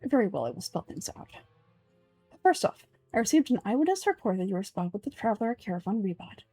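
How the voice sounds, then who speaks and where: A woman's voice: medium pitch, sing-song, dark tone, husky, no room sound, soft background music. Woman voicing a pompous, menacing male sage. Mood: interrogating. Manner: formal, deliberate, condescending. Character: pompous, menacing old male grand sage, coldly calculating villain. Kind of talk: amateur voice-over of game dialogue.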